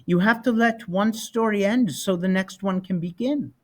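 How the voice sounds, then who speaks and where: A man's voice: high voice, normal speed. A man voicing a loving, patient mother who tells her son a bedtime tale in a storybook. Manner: tender, patient.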